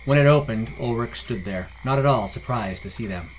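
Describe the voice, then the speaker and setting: A man's voice: medium pitch, moderate pace, a little hiss or hum, rough recording. A man narrating a scripted fantasy story as a podcast storyteller.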